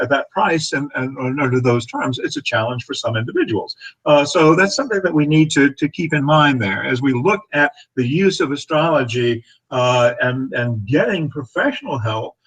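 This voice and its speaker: An older man in his sixties hosts a live webinar from a book-lined home study. He talks haltingly, full of fillers and restarts with little chuckles, in a mid-range voice picked up by his desk webcam. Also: medium pitch, a bit bright, poor audio. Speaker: astrology teacher hosting a webinar. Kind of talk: casual live webinar talk.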